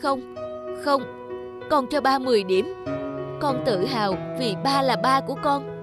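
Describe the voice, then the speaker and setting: An actor playing an earnest young animator son who longs for approval, speaking emotionally in an animated drama. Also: male voice, extremely high pitch, a little slow. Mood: moved, proud. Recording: background music under the voice.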